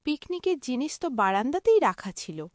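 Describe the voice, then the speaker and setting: A woman's voice: high voice, sing-song, normal speed, dry recording, studio quality. A woman voicing a busy, peacemaking village mother in a cartoon. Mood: explaining.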